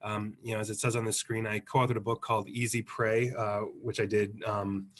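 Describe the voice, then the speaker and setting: A man with a hoarse, fading voice from the flu, explaining technical ideas as a guest expert on a webinar, clearing his throat now and then. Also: on the low side, level tone, brisk. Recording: remote webinar audio.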